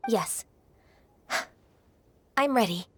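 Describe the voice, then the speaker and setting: A woman voicing a gentle, dutiful young half-human immortal in a video game, speaking politely and formally in a soft manner. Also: on the high side, expressive, extremely slow, a little hiss or hum. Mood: apologetic, hesitant. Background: music.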